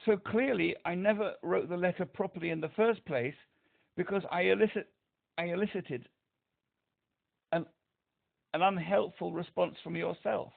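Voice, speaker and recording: A man's voice: high-pitched, normal speed, gravelly, pauses often. Guy w/ a speech impediment, keeps pressing his point, sounds like an old phone line. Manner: insistent, emphatic, repeats himself. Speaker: caller to a helpline. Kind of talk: phone call.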